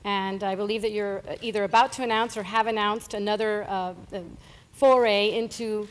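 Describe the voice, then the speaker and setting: Halting woman moderator in a hall. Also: medium pitch, fairly even intonation. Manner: hesitant, repeats words while framing questions. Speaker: interviewer, moderator. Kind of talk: onstage interview questions. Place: conference stage before a seated audience. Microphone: handheld mic. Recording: reverberant conference hall.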